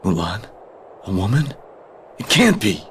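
An actor playing a stern, proud young army captain in a video game, with a commanding, formal delivery. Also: male voice, lowish voice, big pitch swings, a little slow, fairly bright tone, a little hiss or hum. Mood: shocked, angry. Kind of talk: dramatic video game acting.

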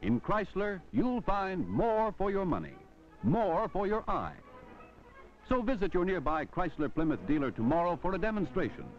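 An American man reading a 1950s car advertisement as a polished sales pitch. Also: sing-song, normal speed. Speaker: commercial announcer. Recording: dull vintage film soundtrack, music behind.

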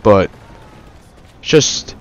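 A man's voice: very slow, dull audio. A young man casually reviewing a shooter game over gameplay, rambling with many fillers and restarts. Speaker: gaming YouTuber.

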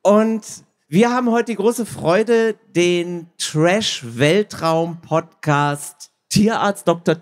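A male host with a fairly high voice moderates a live stage talk. He speaks as a presenter into a microphone, addressing both guests and listeners. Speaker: host, audiobook producer. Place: stage at a book fair.

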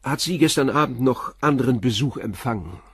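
An actor playing a calm police inspector who puts terse, probing questions in a radio crime drama. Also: male voice, medium pitch, a little fast, husky, dry recording, clean studio sound. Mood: calm, inquiring.

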